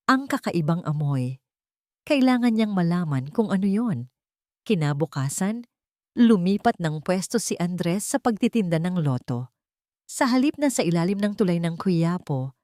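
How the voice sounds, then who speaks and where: Female storyteller, lower register, close dry sound, scripted dramatic narration. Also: a little mellow, dry recording.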